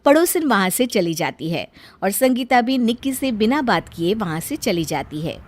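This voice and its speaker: A woman's voice: mid-range voice, big pitch swings, normal speed, no room sound. A woman storyteller reading a scripted family tale as narration.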